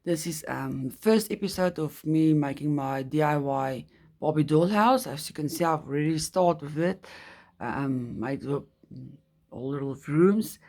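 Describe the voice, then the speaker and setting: A woman's voice: low voice, a little slow, mellow. A middle-aged woman from South Africa, a native Afrikaans speaker with a South African accent, chatting casually and hesitantly about her hobby with many ums and restarts. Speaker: hobby vlogger. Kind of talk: casual chat.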